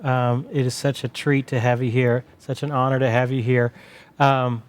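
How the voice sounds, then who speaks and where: A man's voice: mid-range voice, level tone, moderate pace. Effusive male interview host. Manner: effusive, with frequent affirming murmurs. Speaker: show host. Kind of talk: interviewing a guest.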